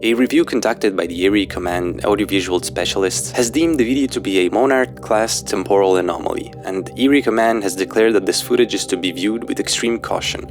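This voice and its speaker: A man's voice: fairly low pitch, fairly quick, hardly any pauses, faint music behind. A man hosting and narrating a scripted analysis, explaining things directly to viewers and reading out quotes. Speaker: narrator and video host.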